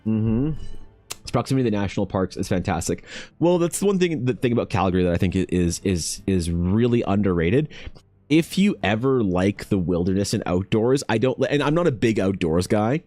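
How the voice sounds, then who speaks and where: A man's voice: on the low side, expressive, a little fast, darkish tone, dry recording, faint music behind. A young man, talking in a casual, opinionated, rambling way, with swearing and many restarts. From Canada. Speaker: art vlogger. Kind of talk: casual chat.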